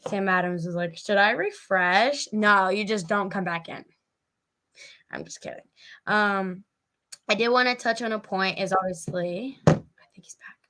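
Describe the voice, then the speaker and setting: A woman's voice: mid-range voice, unhurried, long pauses, pushed and bright. A woman hosting a casual live-stream interview, chatting informally with her guest and audience. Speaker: podcast host and interviewer.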